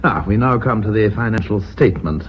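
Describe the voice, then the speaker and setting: A man's voice: on the low side, animated, brisk, natural voice. An actor with a British accent playing an urbane, genial company chairman in a radio drama, courteous and avuncular. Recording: dull old radio recording, faint noise.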